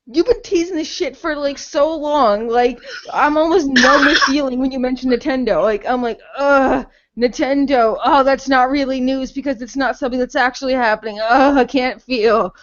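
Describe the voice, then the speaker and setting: A woman's voice: high-pitched, normal speed, few pauses, lo-fi sound. A woman co-hosting a casual podcast, blunt, sarcastic and opinionated, with plenty of swearing. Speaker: podcast co-host.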